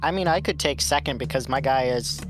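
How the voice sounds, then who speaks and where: Very low-voiced woman over loud music. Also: brisk. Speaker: tabletop game player. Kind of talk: casual game chat. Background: loud background music.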